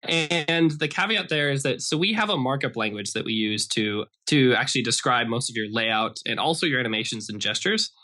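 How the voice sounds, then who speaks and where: A man's voice: medium pitch, expressive, a little fast, few pauses, sharp tone. An American man explaining things casually as a guest in a conversational podcast interview, often saying "like". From Idaho, United States. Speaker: interview guest, software developer.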